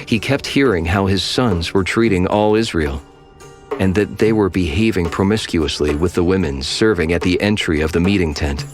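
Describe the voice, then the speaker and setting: A man narrating scripture calmly in a dramatized audio Bible. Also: low voice, normal speed. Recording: loud music score under the voice.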